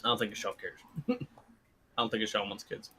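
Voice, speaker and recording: A man playing a tabletop game on a live stream, teasing and quick to laugh. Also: fairly low pitch, level tone, bright, forceful tone. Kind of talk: joking tabletop game banter. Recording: faint background noise.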